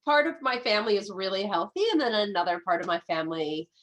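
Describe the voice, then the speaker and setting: A woman's voice: mid-range voice, fast, a bit bright. A woman in her fifties with an American accent, nasal and a little stuffy from a head cold, chatting enthusiastically as an interview guest. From United States. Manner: chatty, enthusiastic, restarts often. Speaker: guest, medical astrologer. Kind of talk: casual podcast interview.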